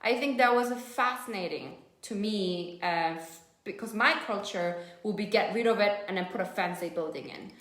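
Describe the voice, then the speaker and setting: A woman's voice: medium pitch, moderate pace, hardly any pauses, a bit bright. A woman in her thirties from South Korea with a Korean accent, chatting casually and telling stories in English, which is not her first language. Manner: chatty, storytelling, loose non-native grammar. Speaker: host of a live stream. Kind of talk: casual chat. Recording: echoey room.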